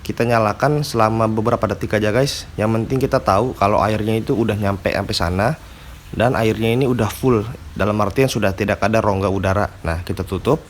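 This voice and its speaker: Male vlogger, slightly low voice, stuffy nose from a cold, relaxed how-to delivery with faint noise behind. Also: brisk. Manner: casual slangy talk, frequent fillers. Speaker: aquarium hobby vlogger. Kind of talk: casual tutorial chat.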